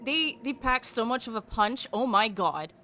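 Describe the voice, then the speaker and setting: A woman's voice: on the high side, normal speed, slight background noise. A woman streamer giving casual, exclamatory reactions while playing a game. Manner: expressive, exclamatory. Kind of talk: casual let's-play reactions. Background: game music.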